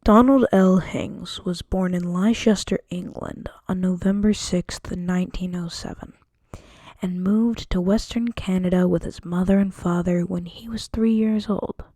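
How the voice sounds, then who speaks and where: A woman's voice: lowish voice, fairly even intonation, unhurried, dark tone, dry recording, studio quality. A woman narrating a student history project, reading carefully from a script with an occasional stumble. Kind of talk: scripted narration read aloud.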